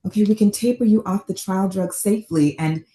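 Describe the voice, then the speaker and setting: A woman playing a composed, apologetic doctor in a dramatic read, measured and clinical, in a roomy recording. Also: mid-range voice, warm tone, lightly acted. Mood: placating, firm. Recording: roomy, echoing remote table-read audio.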